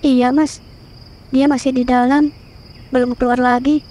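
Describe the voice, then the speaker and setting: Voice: sits high, flat delivery, unhurried, a little mellow. A performer voicing an anxious young woman in a horror cartoon, who speaks in a worried, earnest way.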